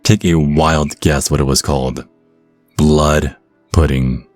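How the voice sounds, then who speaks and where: Very deep-voiced, wry American guy played by an actor. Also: fairly even intonation, slow, dry recording, soft background music. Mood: wry, amused. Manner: wry, casual, sometimes flustered. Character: easygoing, sarcastic, smitten American man on a date. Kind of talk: audio roleplay acting with first-person narration.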